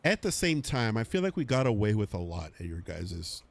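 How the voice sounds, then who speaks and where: A man's voice: lowish voice, a little fast, creaky. A man hosting a casual livestream podcast, chatting with friends and reading out viewer messages. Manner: animated, reads out chat messages. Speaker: podcast host.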